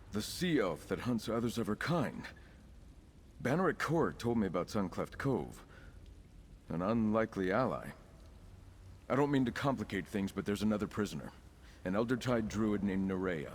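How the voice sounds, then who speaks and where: An earnest heroic ally freed from a cell, with a lowish voice that moves in a lively range. His delivery is measured and theatrical, in video game style, with clear noise of game ambience behind it. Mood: relieved, curious.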